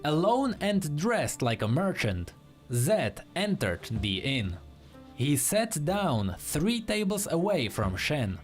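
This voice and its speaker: Male narrator for dramatic fiction; slow, measured delivery, lively pitch movement. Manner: measured, theatrical, acts out dialogue. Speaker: story narrator.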